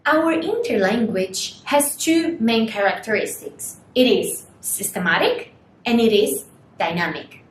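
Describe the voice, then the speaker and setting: Slow, high-voiced woman, native Portuguese speaker. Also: animated. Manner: slow, deliberate explanations with rhetorical questions. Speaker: lecturer. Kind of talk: recorded university lecture. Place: small studio room in front of a screen. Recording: echoey room, faint rumble.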